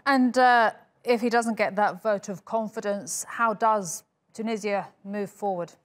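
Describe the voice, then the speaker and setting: A woman's voice: mid-range voice, unhurried. Polished woman news anchor. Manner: polished, formal questioning. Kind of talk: live news interview questions.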